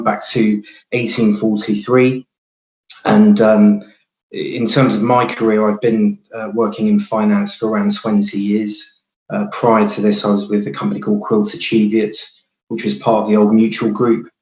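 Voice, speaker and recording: A man in his forties who works as a banker, introducing himself on a panel in a steady, matter-of-fact way. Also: on the low side, roomy sound, poor audio.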